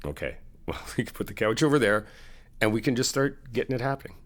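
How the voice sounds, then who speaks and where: A middle-aged man in an ASMR friend roleplay, talking in an easygoing, reassuring, chatty way with soft laughs. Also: mid-range voice, very animated, fast talker. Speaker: ASMR roleplay creator playing a best friend.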